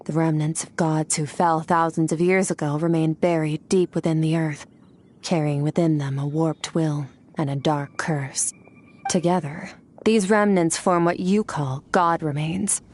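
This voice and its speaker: A woman playing an aloof, powerful warrior in a video game, cool, deadpan and dismissive. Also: sits low, measured pace, slight background noise. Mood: solemn. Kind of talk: restrained video game dialogue. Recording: music behind the voice.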